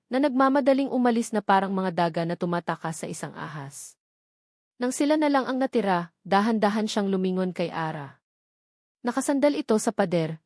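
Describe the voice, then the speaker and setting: A woman's voice: mid-range voice, a little mellow, no room sound. Female narrator for romance audio drama, mid-range voice, emotive and suspenseful, close dry sound. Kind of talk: dramatic scripted storytelling.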